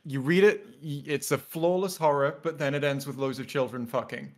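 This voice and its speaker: A man's voice: on the high side, moderate pace. A man in a casual debate, pressing with probing questions and hypotheticals as he talks. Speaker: discussion panelist.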